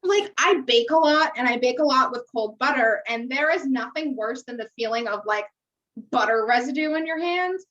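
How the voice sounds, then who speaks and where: A woman's voice: sits high, expressive, normal speed, fairly bright tone. An American woman co-hosting a podcast, chatting casually and candidly with strong opinions and casual swearing. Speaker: podcast co-host. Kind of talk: casual chat recapping a reality TV show. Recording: muffled, distant-sounding microphone.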